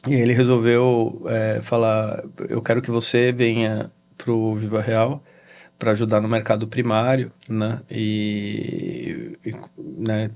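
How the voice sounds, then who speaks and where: A middle-aged Brazilian man with a Brazilian Portuguese accent, a guest in a casual interview, telling a story in halting sentences with frequent "né?" tags. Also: lowish voice, fairly even intonation, gravelly, darkish tone. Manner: halting, with many restarts and "né?" tags. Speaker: interview guest, real estate executive.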